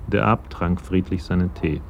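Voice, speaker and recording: A man's voice: low voice, moderate pace, creaky, slight background noise. A man giving scripted narration for a German radio crime drama.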